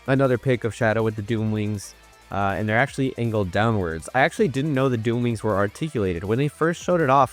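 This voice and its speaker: A young man with a stuffy nose chatting casually through a toy unboxing, full of ums and uhs. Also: lowish voice. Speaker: toy reviewer.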